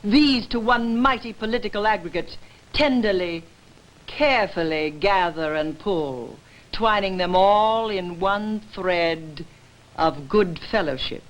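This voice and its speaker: A woman's voice: medium pitch, takes it slowly, lightly acted, low-quality recording. A woman playing a proud, persuasive ancient Greek woman arguing against war, in an emphatic, precise, declamatory staged verse reading. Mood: instructive, rousing.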